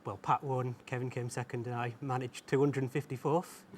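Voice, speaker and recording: A man's voice: medium pitch, little pitch movement, moderate pace, darkish tone, a little hiss or hum. Chatty, modest man in his thirties. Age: 30s. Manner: modest, chatty, laughs easily. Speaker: quiz contestant. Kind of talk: casual chat.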